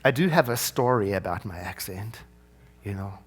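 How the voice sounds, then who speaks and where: Minister, male, South African accent, chatty storyteller in the pulpit, very expressive pitch. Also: normal speed, breathy edge. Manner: conversational, storytelling with frequent "you know" asides. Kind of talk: sermon.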